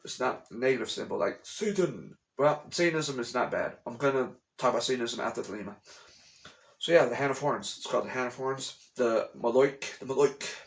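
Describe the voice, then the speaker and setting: A man's voice: medium pitch, fairly quick. A man talking casually to his camera at home, rambling and repeating himself, bluntly dismissing conspiracy theories. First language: English. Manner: rambling, repeats phrases often. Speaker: vlogger. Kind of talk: casual explanatory monologue. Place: at home, room with a TV.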